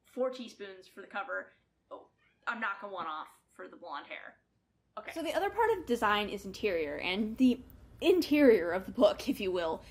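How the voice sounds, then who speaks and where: A woman hosting a book review in a casual, chatty way, with animated emphasis and wry asides. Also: mid-range voice. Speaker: book review show host.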